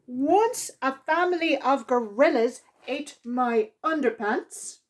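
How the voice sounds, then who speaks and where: A woman voicing a furious wildlife television presenter in a storybook, scolding indignantly with drawn-out emphasis. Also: high voice, animated, heavily acted.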